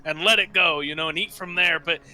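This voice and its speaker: A man casually describing his roleplaying character off the cuff, halting and hesitant, with tongue-in-cheek humor. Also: a little high, bright, forceful tone, poor audio. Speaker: player in a tabletop roleplaying game.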